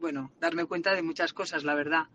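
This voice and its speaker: A woman's voice: low voice, fairly even intonation, brisk, noisy background. A woman with a Catalan accent sending a grateful, reflective voice message while driving. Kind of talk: recorded voice message. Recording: muffled voice message from a car.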